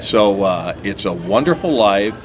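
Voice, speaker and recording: A man hosting a local television talk show, chatting in a relaxed, rambling way with frequent "uh" restarts. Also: fairly low pitch, unhurried, crowd noise. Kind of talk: casual interview chat.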